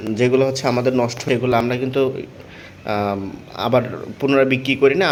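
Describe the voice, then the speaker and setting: Male seller, Bangladeshi accent, mid-pitched, informal explanatory demo delivery, some background noise. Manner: explanatory, repetitive, often checking listeners follow. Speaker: seller presenting a product. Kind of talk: informal product demonstration and sales pitch.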